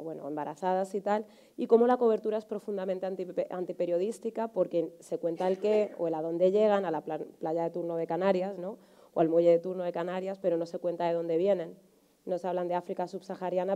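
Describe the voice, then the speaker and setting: A woman in her thirties speaks with a Madrid Spanish accent in a relaxed, somewhat low voice. She explains her points as a panelist in conversation, punctuating them with little tag questions. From Spain, Madrid area. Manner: explanatory, frequent rhetorical tags seeking agreement. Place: indoor auditorium stage, seated on a panel.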